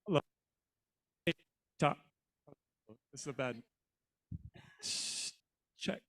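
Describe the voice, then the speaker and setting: A man casually moderating a group meeting in a relaxed, chatty way. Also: a little high, slow.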